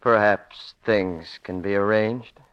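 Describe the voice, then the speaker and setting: An actor playing a nervous, evasive young playboy gambler in a 1940s radio drama. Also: male voice, lowish voice, steady tone, slow, dull audio, very noisy. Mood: scheming.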